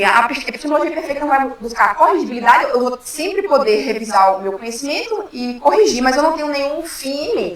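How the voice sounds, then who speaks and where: Female Brazilian academic speaker, high-pitched and quick, informal explainer with frequent check-in tags. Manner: informal, frequent tag questions seeking assent. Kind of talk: explanatory academic talk with slides. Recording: very echoey room, distant microphone.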